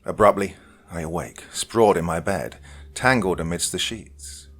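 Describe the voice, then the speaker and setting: A man reading a first-person horror story dramatically, swinging between loud lines and whispers. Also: sits low. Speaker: story reader.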